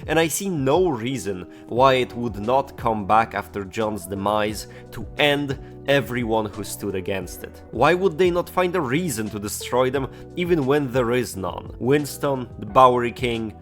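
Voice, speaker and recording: A man delivers a scripted commentary in a wry, opinionated way and swears now and then. His pitch sits in the middle range, and faint music plays underneath. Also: a bit bright. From Poland. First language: Polish. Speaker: video essayist.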